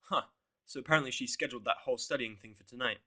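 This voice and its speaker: A man's voice: medium pitch, very fast, pushed and bright. A man reading a first-person fan fiction story as narrator, with a blunt, sardonic delivery.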